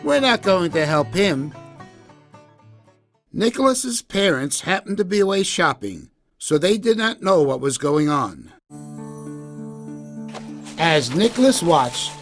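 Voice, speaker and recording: A man with a high-pitched voice narrates a children's story. His delivery is expressive and unhurried, with faint music underneath. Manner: deliberate, expressive. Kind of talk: scripted children's story narration for English learners.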